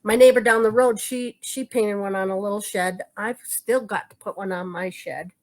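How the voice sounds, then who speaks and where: A woman's voice: mid-range voice, steady tone, normal speed. A woman co-hosting a casual quilt shop video, chatting and rambling as she hunts for words while showing her craft projects. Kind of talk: casual show-and-tell chat.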